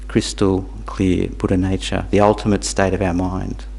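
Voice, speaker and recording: A man born in Tanzania, teaching Buddhism to a small group in a halting, repetitive way, with questions for the audience. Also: deep voice, level tone, gravelly, darkish tone. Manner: halting, repeats words, asks the audience questions. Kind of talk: dharma talk to a small group.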